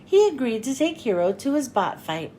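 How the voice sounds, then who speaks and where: A woman reading a children's storybook aloud in an expressive story-time manner. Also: fairly high pitch, moderate pace, a little hiss or hum. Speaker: children's read-aloud host. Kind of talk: animated storybook narration.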